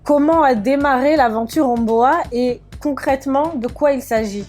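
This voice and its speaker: A woman's voice: sits high, moderate pace, a little mellow, faint music behind. A woman hosting an interview magazine show, opening with a polished intro and then asking questions. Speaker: host.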